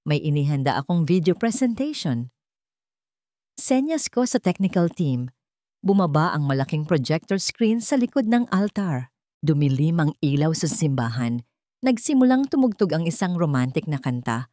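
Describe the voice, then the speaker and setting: Female narrator for melodramatic first-person fiction: slightly low register, scripted dramatic reading, dry close sound. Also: big pitch swings, moderate pace, dark tone, no echo. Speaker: audiobook narrator.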